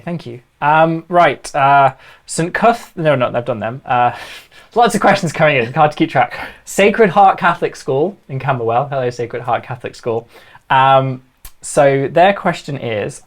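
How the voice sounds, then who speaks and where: A lively moderator runs a live online question session for schools. The voice sits at a middle pitch, and the speaker reads out questions, adds quick asides and laughs easily between fillers. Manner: chatty, laughs easily, thinks aloud with fillers. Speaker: panel moderator.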